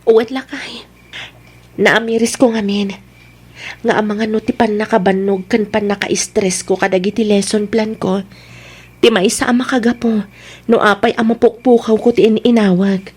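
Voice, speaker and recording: A woman's voice: mid-range voice, steady tone, moderate pace, a little mellow, slightly rough, lightly acted. A Filipino woman acting in an audio drama as a troubled, regretful wife and schoolteacher. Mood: apologetic, resolved.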